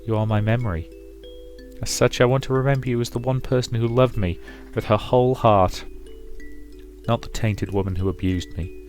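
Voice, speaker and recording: A man reading the part of a gentle, resolute grown daughter in a visual novel, soft, earnest and measured. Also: mid-range voice, fairly even intonation, lightly acted. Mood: tender, resolute. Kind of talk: dramatic visual novel read-aloud. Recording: music behind the voice.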